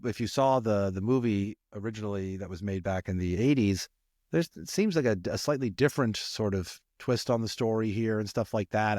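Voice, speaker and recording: A man's voice: on the low side, darkish tone, dry recording, studio quality. A man narrating a story expressively, as an audiobook reader.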